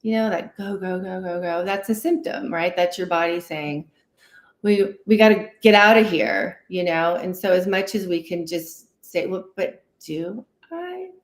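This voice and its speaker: A woman's voice: on the low side, lively intonation, measured pace. A woman guest therapist explains things conversationally and animatedly, acting out inner dialogue and often checking in with "right?". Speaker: guest therapist, licensed clinical social worker. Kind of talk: conversational explaining in a livestream interview. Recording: roomy, echoey sound.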